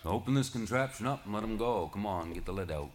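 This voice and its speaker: A man voicing a huge, surly old sea serpent who talks in a gruff, threatening way. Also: lowish voice, animated, quick pace, slight background noise, lightly acted.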